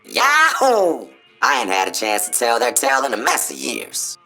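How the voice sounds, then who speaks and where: A man's voice: medium pitch, huge pitch swings, normal speed, vocal fry, sharp tone, faint music behind, full character voice. A man playing a folksy, boastful cowboy robot spokesbot in a video game, with a showy American cowboy drawl. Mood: boastful storytelling. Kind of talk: theatrical Old West tall-tale patter.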